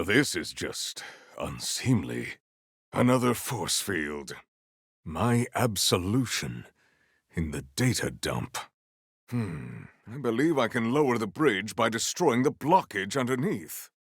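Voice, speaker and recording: Game warrior: grandly earnest and formal, slow stately delivery, gravelly edge. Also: male voice, lowish voice, big pitch swings, a bit bright, no room sound, clean studio sound, exaggerated voice. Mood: solemn, formal. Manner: formal, stately diction with dramatic weight. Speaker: video game voice actor. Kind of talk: scripted game character lines.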